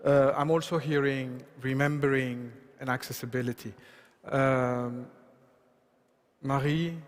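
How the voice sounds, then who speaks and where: A man moderating a panel discussion, speaking slowly and deliberately. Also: medium pitch, steady tone. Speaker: moderator, professor. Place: large museum event hall. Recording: reverberant hall with audience.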